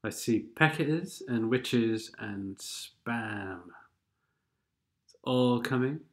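A man with a blocked-up nose talks over game replays at an unhurried, drawn-out pace. His voice sits at an ordinary pitch, and his commentary rambles in a dry, sardonic way. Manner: sardonic, rambling, sings snatches of songs. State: stuffed-up nose from a cold. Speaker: gaming commentator.

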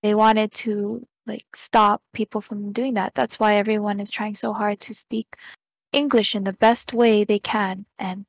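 A young woman speaking as a podcast guest over a headset from a small home room, earnest and measured as she thinks things through. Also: medium pitch, flat delivery, vocal fry, no echo. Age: young adult. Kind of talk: casual conversation.

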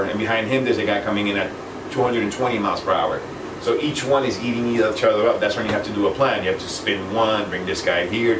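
A middle-aged man casually explaining his job as an air traffic controller in an interview. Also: lowish voice. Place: small office indoors. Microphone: camera mic at a distance. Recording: echoey room, distant mic, air-conditioning noise.

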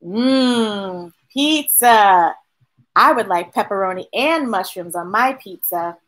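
Slow, animated woman reading a storybook. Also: medium pitch. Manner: expressive, drawn-out delivery addressing young listeners. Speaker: storytime host reading a picture book aloud. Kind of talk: read-aloud storytelling with chatty asides to children. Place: small indoor room with bookshelves.

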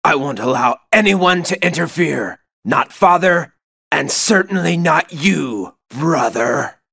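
Cruel mocking young knight for a game, sharp voice, slow drawn out lines, swoopy. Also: male voice, on the high side, lively intonation, vocal fry, breathy edge, heavily acted. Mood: mocking menace. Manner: mocking, theatrical, intense. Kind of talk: video game villain acting.

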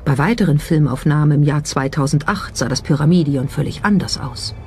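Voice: medium pitch, a little fast, a little mellow, slight background noise. A narrator reading a scripted documentary. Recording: music behind the voice. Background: background music.

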